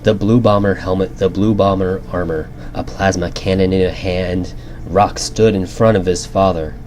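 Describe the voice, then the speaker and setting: A man's voice: deep voice, fairly even intonation, moderate pace, a little mellow, a little hiss or hum. A man narrating a rhyming bedtime storybook as a storyteller, in an ASMR style. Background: background music.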